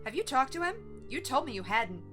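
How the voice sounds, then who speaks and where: A woman's voice: high-pitched, big pitch swings, brisk, a bit bright, faint music behind. An actress playing a wary, combative young woman in a naturalistic audio drama, blunt and challenging. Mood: suspicious. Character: Faith, wary combative young woman refusing to open the door.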